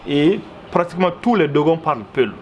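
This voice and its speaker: A man's voice: on the high side, lively intonation, fairly quick, highs cut off. A man with a Malian accent giving earnest, explanatory interview answers, often repeating words for emphasis. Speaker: interviewee, commentator. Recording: clearly noisy background ambience.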